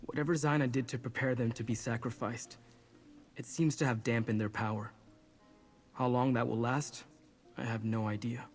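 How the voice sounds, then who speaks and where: A man's voice: medium pitch, steady tone, moderate pace, soft background music, lightly acted. An actor playing an earnest, apologetic young man burdened with guilt in a video game. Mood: resolute, regretful.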